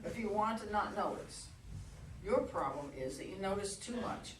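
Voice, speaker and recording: A woman's voice: medium pitch, animated, moderate pace, natural voice. A woman in a staged play reading, acting an exasperated, defensive woman who argues curtly with a pest. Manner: indignant, curt, argumentative. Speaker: amateur play reader. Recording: distant roomy recording with faint noise.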